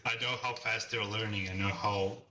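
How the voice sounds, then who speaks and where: A man's voice: lowish voice, steady tone, moderate pace, far from the mic, over a noisy crowd. A man who speaks English as a second language, chatting in an interview over a call, earnest and reflective, often repeating words as he searches for them. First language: not English. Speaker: guest artist.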